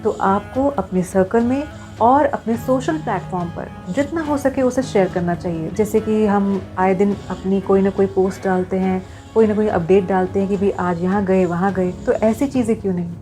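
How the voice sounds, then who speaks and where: A woman's voice: mid-range voice, moderate pace, mellow, soft background music. A woman talking to viewers in an earnest, explanatory vlog style, mixing in English phrases. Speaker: vlogger.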